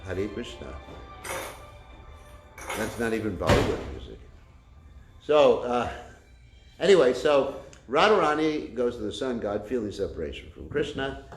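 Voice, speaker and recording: A man with a loud voice giving a religious class, telling a story with many fillers and restarts, recorded without a microphone. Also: medium pitch. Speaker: spiritual teacher. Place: class room with a kitchen nearby. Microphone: no microphone, room recording. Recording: echoey room.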